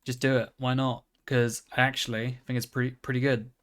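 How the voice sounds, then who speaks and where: A man's voice: mid-range voice, little pitch movement, brisk. A man hosting his own video, chatting casually in a rambling, jokey and offhand way. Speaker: video host. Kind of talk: casual chat to camera.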